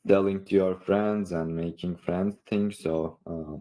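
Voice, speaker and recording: A man with a Turkish accent talking casually on a podcast in a halting, rambling way, leaning often on "like". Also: low voice, steady tone, warm tone. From Istanbul, Turkey. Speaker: online creator and coach, podcast participant.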